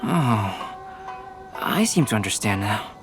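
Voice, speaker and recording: An actor playing a calm, analytical young male scholar, measured and precise, in a video game. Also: on the low side, over music. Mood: calm, explanatory.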